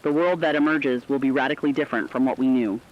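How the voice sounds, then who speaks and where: A man's voice: mid-range voice, steady tone, natural voice. Solemn apocalypse radio commentator played by a man, lo-fi. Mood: solemn, reflective. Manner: earnest, philosophical, deliberate. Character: solemn, reflective male radio commentator addressing apocalypse survivors. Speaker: radio co-host. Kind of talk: scripted reflective radio commentary.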